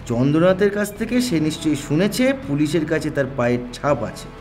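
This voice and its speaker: A man's voice: fairly high pitch, very animated, a little fast, a little mellow, faint music behind. An actor playing a detective's puzzled, sceptical male companion, questioning incredulously in an audio mystery drama.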